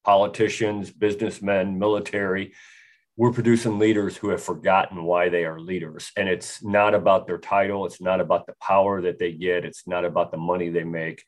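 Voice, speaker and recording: A man's voice: low-pitched, level tone, normal speed. An American man in his sixties, a retired army officer, speaking firmly and earnestly as a podcast guest. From United States. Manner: firm, earnest, repeats for emphasis. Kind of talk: podcast interview.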